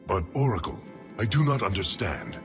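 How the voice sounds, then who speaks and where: Alien warrior commander, very deep and grave, expressive range, loud score under. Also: male voice, over music. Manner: solemn, commanding, measured. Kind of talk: video game cutscene acting. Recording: game audio with loud score.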